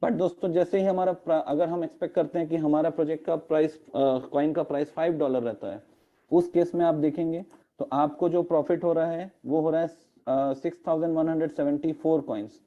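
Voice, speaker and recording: Man, hoarse throat, slightly high voice, dull lo-fi call audio, step-by-step explainer. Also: steady tone, a little fast. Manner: explains step by step, mixing English terms, with fillers. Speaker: network marketing presenter. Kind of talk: online meeting presentation. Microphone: video call.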